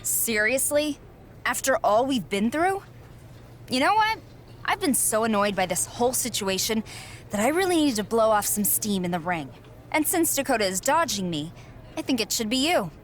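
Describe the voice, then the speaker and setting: A woman's voice: on the high side, big pitch swings, moderate pace, slight background noise. A woman playing a feisty, defensive young wrestler in a game, speaking insistently. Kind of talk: video game cutscene acting.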